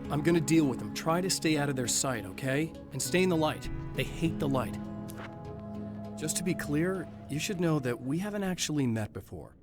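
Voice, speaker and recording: A man's voice: a little high, expressive, moderate pace, natural voice. Man playing a weary novelist hero, dry and wry, faint music behind. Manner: dry, matter-of-fact, wry. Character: weary, determined male horror novelist. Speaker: writer, protagonist. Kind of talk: game cutscene acting.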